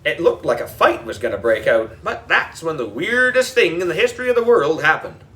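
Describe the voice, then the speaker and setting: A man's voice: fairly high pitch, sing-song, brisk, fairly bright tone. Male audiobook reader, children's story, wildly varied intonation, playful, slightly distant microphone. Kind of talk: animated children's audiobook narration.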